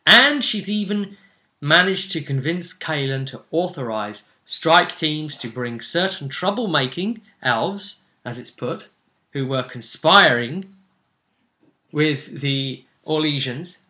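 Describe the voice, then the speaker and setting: A man's voice: a little high, expressive, slow pace, bright, forceful tone. A man acting as game master, casually recapping the story of a tabletop role-playing game.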